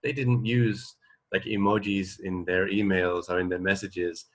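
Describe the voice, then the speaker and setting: A man in his forties chatting casually as a radio co-host, explaining things in a halting, rambling way that often trails off mid-thought. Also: low-pitched, level tone. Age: 40s. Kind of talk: casual unscripted chat, explaining.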